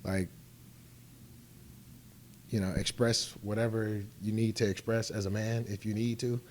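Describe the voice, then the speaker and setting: A man's voice: lowish voice, little pitch movement, measured pace, darkish tone, slight background noise. A man in his thirties, an ironworker, talking casually on a discussion panel with lots of "like" and "you know". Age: 30s.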